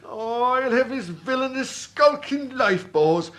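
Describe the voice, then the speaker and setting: High-wailing tipsy Irish captain. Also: male voice, lively intonation, slow, fairly bright tone, heavily acted. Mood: blustering outrage. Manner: bombastic, maudlin. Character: tipsy, blustering Irish father, melodramatic old army captain. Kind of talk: radio drama acting.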